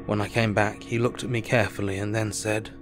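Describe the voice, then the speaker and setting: Man as low-voiced, earnest Victorian doctor. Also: flat delivery, over music, natural voice. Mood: weary reflective. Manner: measured, reflective, formal. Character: weary, earnest Victorian doctor keeping a diary. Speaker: actor. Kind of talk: dramatized diary narration.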